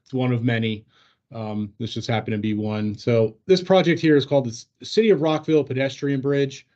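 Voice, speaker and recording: A man's voice: mid-range voice, steady tone, fairly quick, darkish tone. A man presenting informally in an online work meeting, walking colleagues through a shared screen in an easygoing way. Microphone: online meeting call. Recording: dull, lo-fi online meeting audio.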